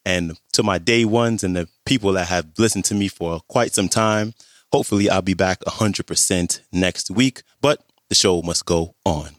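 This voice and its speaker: A hoarse man hosting a podcast, with a very low voice. He chats in a relaxed way as he introduces the show. The recording is dry and close. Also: normal speed, dry recording. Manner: relaxed, chatty, friendly greetings. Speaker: podcast host.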